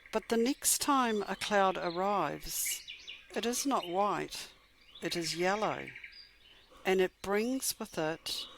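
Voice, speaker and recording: A woman guides a meditation in a somewhat low voice. She speaks very slowly and gently, leaving soothing pauses between phrases. Birdsong and clear background noise sit behind her. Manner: measured, gentle, soothing delivery with long pauses. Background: birdsong and chirping.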